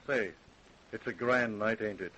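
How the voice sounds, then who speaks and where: A man's voice: fairly low pitch, measured pace, pushed and bright, rough recording. A folksy, hard-drinking husband in an old radio drama. He talks with nonchalant ease, unrepentant and unbothered. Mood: easygoing.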